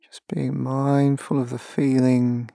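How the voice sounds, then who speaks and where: Slow, creaky-voiced male meditation guide. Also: mid-range voice, little pitch movement, no room sound, studio quality. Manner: gentle, measured instruction with long pauses.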